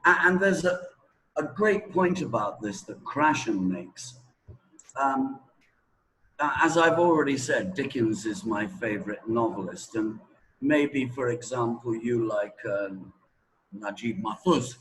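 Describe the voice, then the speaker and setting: A man's voice: mid-range voice, lively intonation, measured pace, fairly bright tone, slight background noise, poor audio. A man being interviewed as a language teacher, explaining things conversationally and didactically, often checking that the listener follows. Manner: didactic, checking with "right?", "okay?", "yeah?".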